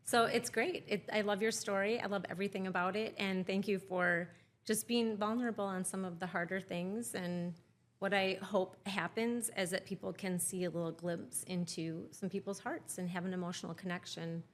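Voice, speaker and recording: A woman's voice: mid-range voice, fairly even intonation, normal speed. A woman hosting a podcast interview at a wine bar, encouraging her guest with frequent short affirmations. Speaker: podcast host. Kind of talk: casual interview.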